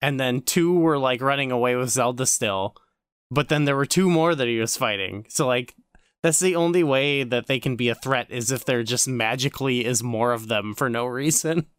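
A man's voice: medium pitch, normal speed, a bit bright, clear, resonant tone, no echo, clean studio sound. A man co-hosting a podcast in casual, joking conversation. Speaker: podcast co-host.

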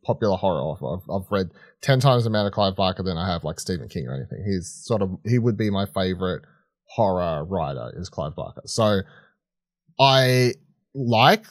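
A man's voice: low voice, lively intonation, a little fast, dry recording, studio quality. A man co-hosting a podcast, chatting casually about films in an informal, rambling, slangy way. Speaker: podcast host. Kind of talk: casual film review chat.

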